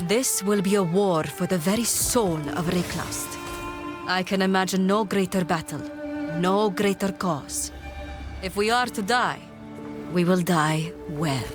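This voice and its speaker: A woman's voice: fairly low pitch, unhurried, natural voice. A woman playing a determined, regal desert leader in a fantasy game, formal and commanding. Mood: grave resolve. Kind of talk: dramatic fantasy game acting. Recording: music under the voice.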